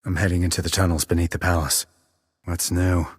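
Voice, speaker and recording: An actor playing a terse, cool-headed sniper operative in a video game, with clipped, controlled military delivery and a low husky voice. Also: male voice, flat delivery, a little fast, dry recording. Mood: focused, controlled. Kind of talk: military radio chatter, game dialogue.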